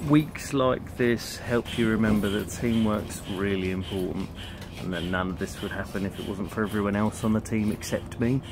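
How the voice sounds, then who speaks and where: A man's voice: on the low side, moderate pace. A man in his thirties talking casually to camera as a camp vlogger, outdoors on a windy campsite. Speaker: vlogger and youth camp leader. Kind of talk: casual chatty vlog narration. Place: outdoors on a campsite, under a tent awning. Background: wind and outdoor ambience.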